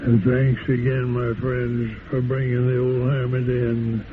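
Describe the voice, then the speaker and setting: A man's voice: medium pitch, completely flat delivery, measured pace, a little hiss or hum. Older guy chatting folksy about the old days, tinny old radio sound. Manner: folksy, nostalgic, chuckling. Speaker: interview guest, former vaudeville performer. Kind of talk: reminiscing radio interview. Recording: tinny old radio broadcast with loud music.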